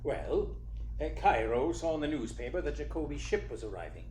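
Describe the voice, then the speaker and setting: A man's voice: a little high, very animated, normal speed, a little mellow. A man playing a genial, scheming crime boss in a radio play, with formal, theatrical phrasing. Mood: smooth, explanatory. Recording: echoey room with faint noise.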